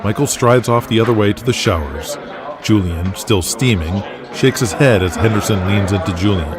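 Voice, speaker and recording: Male drama narrator, low pitch, scripted storytelling, busy crowd and street ambience behind. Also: expressive, moderate pace.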